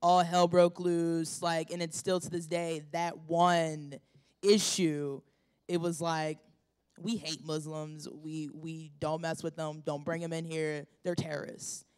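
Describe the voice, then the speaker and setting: A student argues a point in a group discussion. The voice is smooth and clear. The speech rambles, with many fillers, and the points land with emphasis. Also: mid-range voice, level tone, takes it slowly, a little mellow. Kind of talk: casual spoken opinion.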